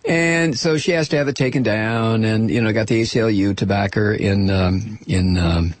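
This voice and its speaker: An American man hosting a political talk show in an opinionated, sarcastic and indignant monologue, with dramatic emphasis and a gravelly, husky voice. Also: moderate pace. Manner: dramatic emphasis, swinging from low asides to shouts. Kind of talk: opinionated radio monologue, reading and commenting on listener mail and news.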